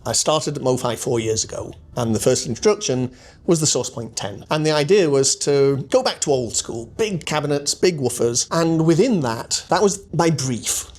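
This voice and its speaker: A man's voice: fairly high pitch, animated, normal speed, a little mellow. A man in his sixties explaining technical details conversationally as an interviewed designer, often posing questions and answering them himself. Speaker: loudspeaker designer being interviewed. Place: hotel demo room at an audio show. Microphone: small handheld mic.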